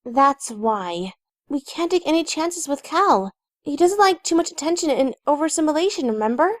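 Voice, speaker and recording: A woman voicing a sensible, caring young female pony with an earnest, gently firm manner in a cartoon audio drama. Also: sits high, expressive, moderate pace, dry recording, clean studio sound. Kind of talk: animated fan audio drama.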